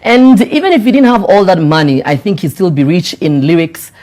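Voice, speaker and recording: A man hosting a show, giving a hype introduction and then asking questions in an energetic, emphatic way. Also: high-pitched, a little fast. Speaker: host.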